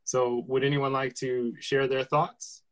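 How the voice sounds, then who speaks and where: A man's voice: mid-range voice, flat delivery, measured pace, a bit bright. A man, moderating a casual panel and prompting guests with questions. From United States. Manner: friendly, prompting, with some restarts.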